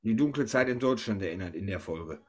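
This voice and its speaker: A man's voice: lowish voice, lively intonation, fairly quick, a little mellow. A man hosting a podcast, chatting casually and informally about pop culture with his co-hosts. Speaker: podcast host.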